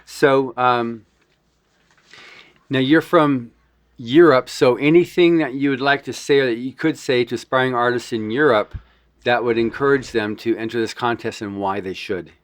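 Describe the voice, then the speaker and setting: A man's voice: mid-range voice, fairly even intonation, moderate pace, dry recording. A man hosting a podcast, asking casual questions with easygoing fillers. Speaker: podcast host. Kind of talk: casual interview.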